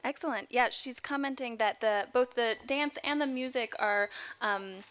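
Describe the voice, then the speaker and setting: A woman hosts an audience Q&A from an outdoor stage. Her voice sits fairly high and is very bright as she speaks into a microphone. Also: normal speed. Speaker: question and answer moderator. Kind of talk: hosting an audience Q&A.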